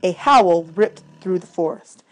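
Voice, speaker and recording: A woman reading a children's adventure novel aloud as an expressive audiobook narrator, in scripted first-person narration. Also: fairly low pitch, a little slow.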